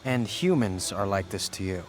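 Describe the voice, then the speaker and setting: A man's voice: low voice, expressive, normal speed. An actor playing a cool, wry male hero in a fantasy video game, composed in his delivery. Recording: clear music underneath.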